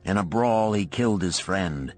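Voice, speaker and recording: A man playing a dignified old merchant who tells his past in measured, formal, archaic speech, in an audiobook. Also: low-pitched, lively intonation, soft background music, voice pushed up. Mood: grave recollection.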